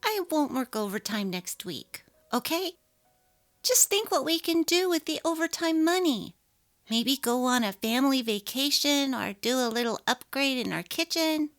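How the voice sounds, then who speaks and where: A woman's voice: high voice, animated, moderate pace. A woman voicing an apologetic, evasive young working wife and mother in a dramatic story reading. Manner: apologetic, placating. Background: faint music.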